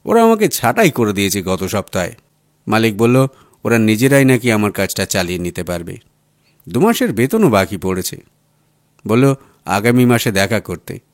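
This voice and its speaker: A man's voice: on the low side, sing-song, moderate pace, long pauses, no echo, clean studio sound. A man reading an audiobook voices a down-at-heel, apologetic jobless writer who talks in a familiar, plaintive way. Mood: frustrated, dejected. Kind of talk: audiobook character dialogue.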